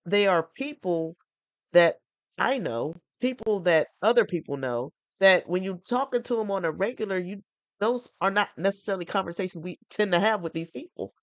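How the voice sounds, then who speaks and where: A woman's voice: medium pitch, lively intonation, moderate pace, no echo. Congested woman hosting a porch chat. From North Carolina. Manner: rambling, enthusiastic, restarts often. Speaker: podcast host.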